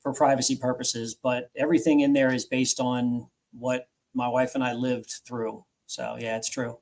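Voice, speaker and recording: A man's voice: medium pitch, little pitch movement, normal speed, darkish tone, poor audio. Earnest male author telling his story. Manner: earnest, reflective, frequent restarts. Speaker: guest author. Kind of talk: interview storytelling.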